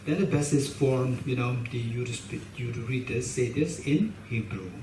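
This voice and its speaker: A middle-aged man with a Tibetan accent teaches a class, emphatic and gesturing, circling back to repeat his phrases. His voice sits at an ordinary pitch and is heard from a little way off the microphone. The hall is echoey, with a faint hiss underneath. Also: moderate pace, a little mellow. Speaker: Buddhist teacher. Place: meditation hall with shrine, indoors. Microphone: stand mic in front of him. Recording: roomy hall sound, mic a bit distant, faint hiss.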